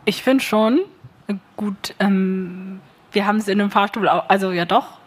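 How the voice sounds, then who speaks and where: A woman's voice: medium pitch, fairly even intonation, unhurried, a little hiss or hum. A woman who took part in the workshop, answering the moderator in short, casual remarks. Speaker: workshop participant. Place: stage at a festival panel.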